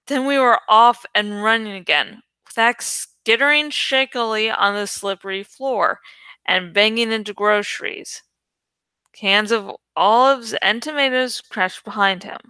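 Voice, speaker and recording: Bright, crisp woman reading fiction. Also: fairly high pitch, unhurried, no room sound. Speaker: audiobook reader. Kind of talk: dramatic scripted narration of a young adult novel.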